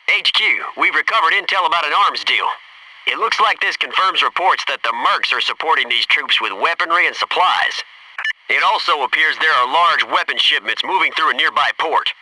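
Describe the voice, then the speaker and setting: American navy seal teammate from a shooter game, businesslike tactical callouts, a bit far from mic, lots of noise. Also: male voice, on the high side, sharp tone. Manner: clipped, businesslike military delivery. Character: disciplined, alert male Navy SEAL squad mate. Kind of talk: video game military radio callouts and tactical remarks.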